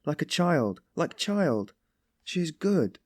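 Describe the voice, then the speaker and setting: A man's voice: mid-range voice, normal speed, a little mellow, no room sound, studio quality, voice pushed up, lightly acted. A man voicing an anguished, meek but passionately compassionate young woman, pleading in breathless exclamations and repetitions for an audiobook story. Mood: impassioned pleading.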